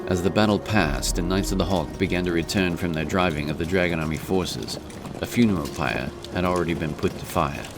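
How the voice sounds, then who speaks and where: A man with an extremely deep voice narrates a fantasy story from a script. His delivery is serious and measured, with music clearly playing behind him.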